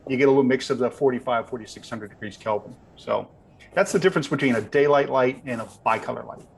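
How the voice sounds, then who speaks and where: A man's voice: mid-range voice, fast talker, creaky. Patient man explaining, slight background noise. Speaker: lighting company representative, guest expert. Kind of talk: informal technical explanation. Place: video call. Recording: background noise on a video call.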